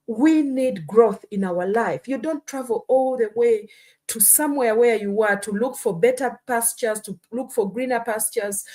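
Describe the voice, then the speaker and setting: A woman from Uganda hosts a live stream with a fairly high voice. She talks earnestly and keeps checking in with her listeners, scattering "you know" and "hmm?" through her points. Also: a little mellow. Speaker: host. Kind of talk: casual live-stream talk.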